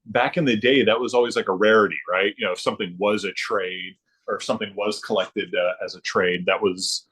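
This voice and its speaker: Guy on a podcast, pretty deep voice, bit raspy, rambles w lots of ums and restarts. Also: steady tone, fairly bright tone. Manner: halting, rambling, many ums and repeated words. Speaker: podcast guest. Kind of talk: casual chat about hobbies.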